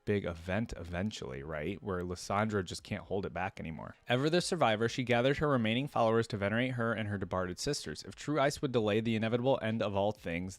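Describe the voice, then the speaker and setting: Male reaction creator, on the low side, informal and slangy, reads lore aloud, very dry sound. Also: no room sound. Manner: informal, uses slang like dude and man. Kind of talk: casual reaction commentary mixed with reading lore aloud.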